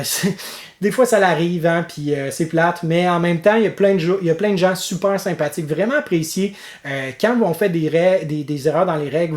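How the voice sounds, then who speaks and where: A man's voice: fairly high pitch, a little mellow, roomy sound. A man with a Québécois accent giving a casual, chatty monthly vlog update as host of a board game channel, with many fillers and restarts. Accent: Québécois French.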